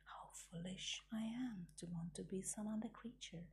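A woman's voice: mid-range voice, moderate pace, darkish tone, slight background noise, natural voice. A woman whispering the part of a polite, curious young male fox cub in a bedtime story. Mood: resolute.

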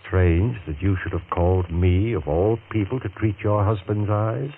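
An actor playing a measured, authoritative doctor with a bitter, pointed edge in a 1940s radio drama. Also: male voice, low-pitched. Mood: cool, wry. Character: smooth-talking, bitter doctor, a jilted former suitor. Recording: scratchy, narrow-band 1940s radio recording.

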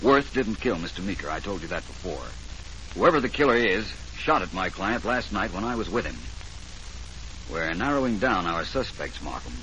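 An actor playing a confident, shrewd private detective, speaking with assured, deliberate command in 1940s radio drama style. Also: male voice, low-pitched, big pitch swings, pushed and bright, heavily acted. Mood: firm, explaining. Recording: noisy vintage 1940s radio recording.

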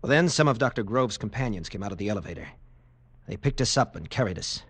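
A man's voice: lowish voice, lively intonation, quick pace, a bit bright, husky, heavily acted. A man acting in a 1940s radio drama as a tough lieutenant recounting a frightening ordeal in an earnest, intense way. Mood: earnest, unsettled. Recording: noisy vintage radio recording.

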